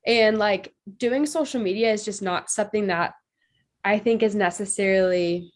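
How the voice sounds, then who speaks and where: A woman's voice: mid-range voice, moderate pace. A woman in her twenties from Ohio, a podcast guest, slightly nasal from allergies, talking in an upbeat, bubbly way. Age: 20s. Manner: upbeat, bubbly, frequent "like" fillers, laughs easily. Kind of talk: casual interview chat.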